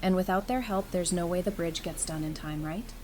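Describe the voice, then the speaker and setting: A woman playing a plucky, teasing, warm-hearted young survivor in an audio drama, with a casual, wry way of talking. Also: fairly low pitch, fairly quick, close to an everyday voice. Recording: clear rain noise behind. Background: rain ambience.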